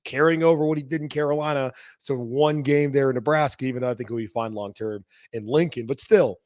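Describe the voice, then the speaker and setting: Hoarse man, casual sports podcast host, mid pitch, dry booth. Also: brisk, a little mellow, no room sound. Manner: casual, rambling.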